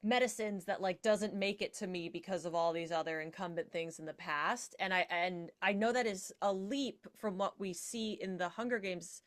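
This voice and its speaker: A woman's voice: lowish voice, fairly even intonation, normal speed, few pauses. A woman speaking as a guest in a casual panel discussion, reflective and conversational, peppering her points with "like".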